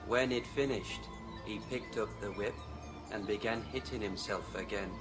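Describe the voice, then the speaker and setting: A dull male labourer, played by a man, tells his story in a low, plodding voice. Music sits beneath him in this radio play. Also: moderate pace, natural voice. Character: dull male land worker recounting a sight. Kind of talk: radio drama acting.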